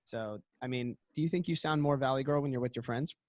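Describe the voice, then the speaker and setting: A man co-hosting a casual chat podcast, talking quickly with lots of "like" and "you know what I mean.". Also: mid-range voice, dry recording, studio quality. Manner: talks fast, says he hurries to get through a point. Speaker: podcast co-host.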